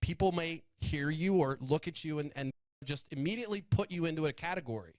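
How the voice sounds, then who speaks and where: A man's voice: fairly high pitch, lively intonation, a little fast, darkish tone, no echo. A man hosting a casual interview, asking curious questions and sometimes searching for his words. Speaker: podcast host.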